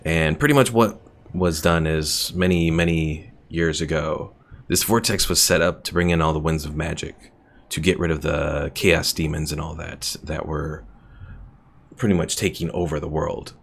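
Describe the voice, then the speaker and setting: A man's voice: rumbling low, steady tone, moderate pace, creaky. A man streaming a strategy game, chatting casually, rambling about the lore and reading viewers' messages aloud. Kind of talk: casual gameplay commentary.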